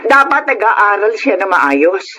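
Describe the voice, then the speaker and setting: A woman's voice: medium pitch, very animated, measured pace, pushed and bright, full character voice. An actress playing a middle-aged, overworked Filipino mother in a radio drama, scolding and complaining. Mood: exasperated. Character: nagging, overworked mother, exasperated with her son. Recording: noisy radio drama track.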